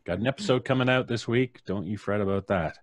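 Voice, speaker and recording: A man's voice: lowish voice, fast talker, no echo, clean studio sound. A man with a North American accent hosting a casual live stream, jovial and playfully flowery in his introductions. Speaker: host and showrunner of a storytelling podcast. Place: at home, on a live video stream.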